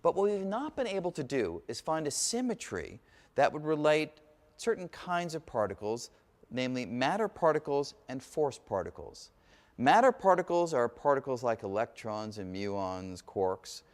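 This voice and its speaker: A man giving an explanatory science lecture in halting, emphatic phrases, as a lecturer would. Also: medium pitch. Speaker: physics lecturer. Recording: echoey hall reverb.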